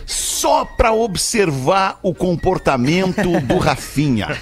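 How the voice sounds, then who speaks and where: A man from hosting a radio show, loud and animated, reading ads in a sing-song way. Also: high-pitched, slow, husky, soft background music. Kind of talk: lively radio show hosting with live ad reads. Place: radio studio.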